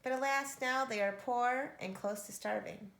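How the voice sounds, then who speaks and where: A woman voicing a kindly, sorrowful old woman telling her tale gravely in a storybook. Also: on the high side, moderate pace, a bit bright. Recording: echoey room.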